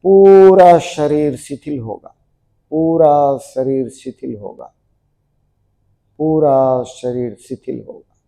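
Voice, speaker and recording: A man leads a guided relaxation for a group. His voice is mellow and sits a little higher than average. He speaks very slowly, giving measured instructions and repeating key phrases. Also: creaky. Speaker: yoga nidra teacher.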